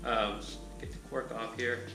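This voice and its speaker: A man reviewing a beer in a relaxed, rambling way with a raspy voice. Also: mid-range voice, normal speed, roomy sound, over music. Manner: laid-back, rambling, lots of filler words. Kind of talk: casual chat.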